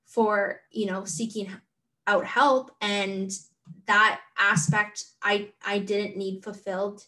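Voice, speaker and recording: A woman co-hosting a podcast, talking gently and haltingly with many fillers. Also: mid-range voice, level tone. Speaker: podcast co-host. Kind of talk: casual conversation. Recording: distant from the mic.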